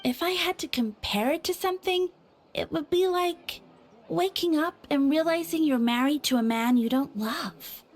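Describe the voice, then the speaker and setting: An actress playing a dreamy, flustered, lovestruck young townswoman in a video game, with a halting, swooning delivery. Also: high-pitched, lightly acted. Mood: wistful. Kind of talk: video game character acting.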